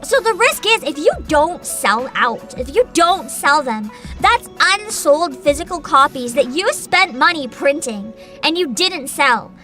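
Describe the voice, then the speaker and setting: A woman's voice: sits high, unhurried, few pauses, soft background music. A young woman streaming casually, answering chat questions with frequent giggles and a playful mock-boss attitude. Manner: giggly, animated, frequent laughter and restarts; playful mock-CEO boasting. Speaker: vtuber streamer.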